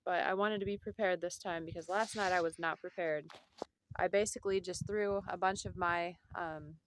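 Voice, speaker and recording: A woman's voice: lowish voice, fairly even intonation, normal speed, no room sound. A woman chatting casually to her camera at home, rambling with fillers and repeating herself as she talks. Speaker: vlogger.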